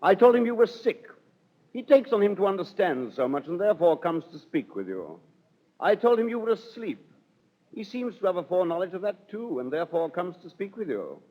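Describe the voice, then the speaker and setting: A fussy pompous steward, high and very animated, old muffled radio drama. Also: male voice, fairly quick. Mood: fussy, exasperated. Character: pompous, disdainful male household steward. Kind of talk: 1930s radio Shakespeare acting. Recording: muffled 1930s radio broadcast.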